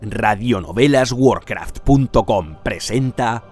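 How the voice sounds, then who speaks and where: A man giving a grave, deliberate title announcement for a radio drama. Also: on the low side, expressive, darkish tone, slightly rough. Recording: ominous music behind the voice.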